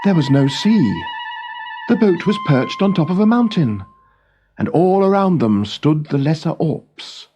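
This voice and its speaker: A man narrates a children's story with an expressive, widely moving pitch. He takes his time over each scripted phrase, and light music plays underneath. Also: a little slow, highs cut off.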